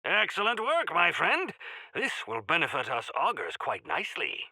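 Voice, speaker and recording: A pompous yet genial game quest-giver, with a piercingly bright, forceful voice that swoops dramatically from phrase to phrase. He speaks in florid, courtly flourishes with dry asides, and the sound is close and dry. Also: on the high side, wildly swooping pitch, moderate pace, no echo, heavily acted, low-quality recording. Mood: courtly, approving. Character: genial pompous male quest-giver of a mountain order, theatrically grateful. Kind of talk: theatrical video game character acting.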